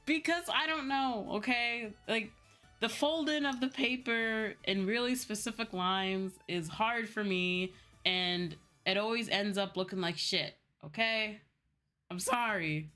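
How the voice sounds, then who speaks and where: A woman's voice: medium pitch, a little slow, pushed and bright, faint music behind. A woman livestreamer chatting casually to her viewers in a playful, self-deprecating manner. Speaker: livestreamer, VTuber.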